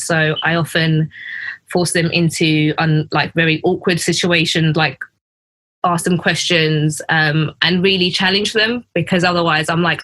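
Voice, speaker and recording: A woman's voice: fairly low pitch, a bit bright. A British woman hosting a podcast, chatting informally and casually with a guest. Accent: British English. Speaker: podcast host.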